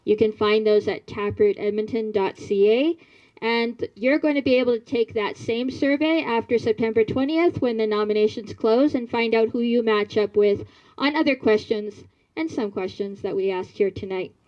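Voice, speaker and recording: A woman's voice: a little high, normal speed, a little mellow, lo-fi sound. A middle-aged woman moderating a public forum outdoors, speaking in a chatty way with many fillers into a handheld mic. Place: outdoors at a community event.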